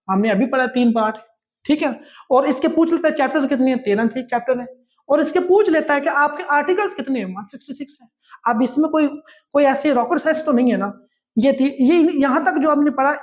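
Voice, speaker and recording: A man with a Pakistani accent giving an exam-prep lecture as a law teacher, repeating and stressing each key point. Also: very high voice. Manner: repetitive, emphatic, drilling key points.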